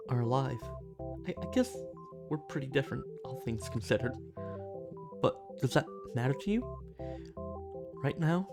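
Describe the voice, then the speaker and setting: A woman playing a shy, snarky, touch-starved alien in an ASMR roleplay audio drama, with a halting, flustered delivery. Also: a bass voice. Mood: tender, hopeful. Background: soft music.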